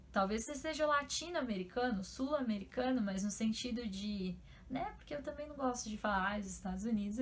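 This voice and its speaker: A woman's voice: sits high, a little hiss or hum. A young Brazilian woman with a Brazilian Portuguese accent, hosting her own channel and talking casually to the camera, full of rhetorical questions and tag words. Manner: chatty, rhetorical questions, frequent "né?" tags. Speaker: channel host.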